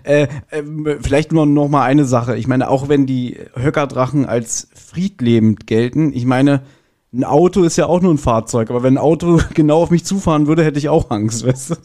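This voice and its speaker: A man's voice: mid-range voice, moderate pace, mellow. A man speaking as a guest in a casual, conversational podcast review.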